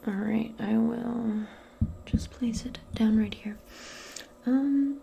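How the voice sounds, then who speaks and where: Soft spoken asmr witch lady, lots of whispering, mellow and slow, kinda hesitant. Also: a little high, steady tone, highs cut off, a little hiss or hum. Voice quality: soft, breathy, often slipping into whisper. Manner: gentle, unhurried, hesitant and improvised. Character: modern witch, a gentle woman tending a guest. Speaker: ASMR roleplay host.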